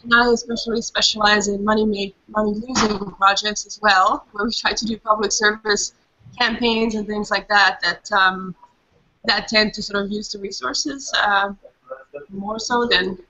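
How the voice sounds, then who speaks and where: A woman's voice: medium pitch, steady tone, moderate pace, pushed and bright. A woman architect being interviewed over a video call, explaining thoughtfully with fillers. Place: open-plan office. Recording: muffled video-call audio.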